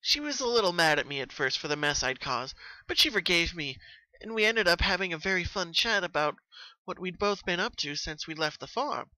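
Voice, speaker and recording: A man voicing a troubled, sweet young female party pony in an earnest, emotional and animated way, as in a story reading. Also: high-pitched, fairly quick, no echo, pitched above the performer's own voice, exaggerated voice, low-quality recording. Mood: recalling.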